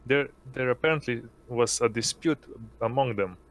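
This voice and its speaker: A man's voice: mid-range voice, normal speed, a bit bright, low-quality recording. A man talking casually as a player in a tabletop roleplaying game.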